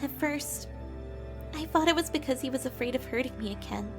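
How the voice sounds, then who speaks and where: A woman's voice: high voice, fairly quick. A woman playing an earnest, wounded and insecure young woman in a dramatic audio drama, with music behind her. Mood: sorrowful, reflective.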